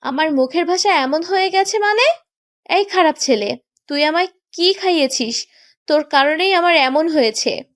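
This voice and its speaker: A woman's voice: high voice, animated, moderate pace, a bit bright. A woman playing a petulant, stubborn young bride in a romantic audio story, with a sulky, reproachful way of talking. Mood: tipsy, accusing.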